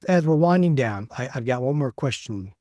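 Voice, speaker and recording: A man's voice: mid-range voice, expressive, normal speed, a little mellow, no echo. A man hosting a leadership podcast, asking curious, conversational questions. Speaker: podcast host. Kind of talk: interviewer questions.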